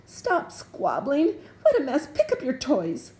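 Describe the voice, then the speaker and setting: A woman voicing a harried, motherly female toad in a storybook, shrill when scolding and warm when relieved. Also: expressive, normal speed, vocal fry, heavy background noise.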